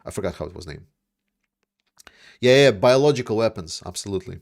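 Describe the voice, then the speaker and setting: A man's voice: on the low side, lively intonation, a little fast, gravelly, darkish tone. A man from Latvia, hosting a casual livestream and answering viewer questions in a rambling way, with many fillers and restarts. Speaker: livestream host.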